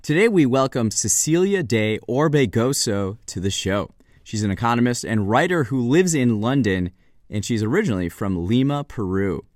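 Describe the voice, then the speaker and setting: A man hosting a podcast, introducing the guest and asking relaxed, friendly questions. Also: mid-range voice, moderate pace, dry recording, clean studio sound. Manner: relaxed, friendly, some fillers. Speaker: podcast host.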